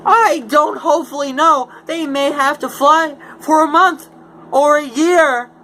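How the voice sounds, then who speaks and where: A small grumpy forest creature scolds in a squawking, croaky voice pitched far above the narration. The fussy complaints come high and strained. Steady background noise sits under the reading. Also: measured pace, exaggerated voice. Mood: scolding.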